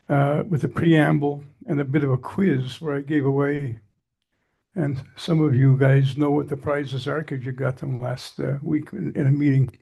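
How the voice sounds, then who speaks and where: Older man with a scratchy, gravelly voice. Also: on the high side, mellow. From Canada. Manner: chatty, jokey and digressive. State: scratchy from allergies. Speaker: guest speaker. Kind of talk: public talk to a society meeting.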